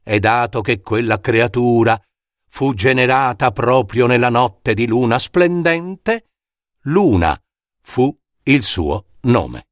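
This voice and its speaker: A man narrating a scripted fairy tale as a storyteller, in a theatrical, measured way with suspenseful pauses. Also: mid-range voice, expressive, dry recording, clean studio sound. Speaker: storyteller and author reading his own fairy tale.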